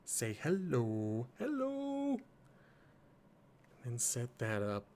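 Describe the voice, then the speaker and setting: A man's voice: medium pitch, huge pitch swings, drawn-out words, a little mellow. A slightly sniffly man hosting a livestream, chatting casually and rambling in a self-deprecating way. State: slightly sniffly, congested from allergies. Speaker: live streamer and host of a game playthrough.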